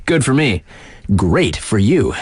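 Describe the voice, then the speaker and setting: A man's voice: medium pitch, very animated, slow pace, slightly rough, dry recording, studio quality, full character voice. A man playing a hip, laid-back radio DJ on a snowboard mountain in a video game, introducing tracks with slangy, cocky, playful patter. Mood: upbeat, cool.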